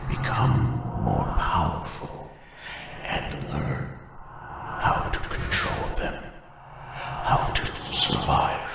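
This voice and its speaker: A man voicing a hulking, gentle, monstrous armored guardian in a scripted ASMR roleplay, with a breathy gravelly rumble and halting, formal, solemn phrasing. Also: exaggerated voice. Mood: grave, instructive. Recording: very echoey, distant, faint noisy ambience.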